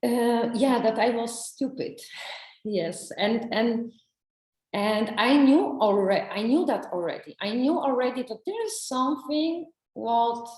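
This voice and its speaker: Woman, slow halting english, lots of restarts, roomy echo. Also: fairly high pitch, animated, a little mellow. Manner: halting, searching for words, many restarts. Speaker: coaching client. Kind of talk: testimonial interview.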